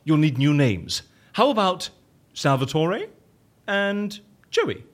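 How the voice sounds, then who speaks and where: An eccentric, fussy boss in an audio comedy. His voice sits fairly high and leaps wildly up and down. He is theatrical and wordy, full of exclamations. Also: wildly swooping pitch, slow pace, full character voice. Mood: scheming. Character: eccentric, fussy male boss with a cocoa obsession. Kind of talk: audio comedy drama acting.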